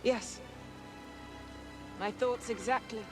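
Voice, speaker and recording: An actress playing a poised, wry female space knight in a video game, with measured delivery and dry wit. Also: a little high, fairly even intonation, over music. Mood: calm. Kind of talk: video game cutscene acting.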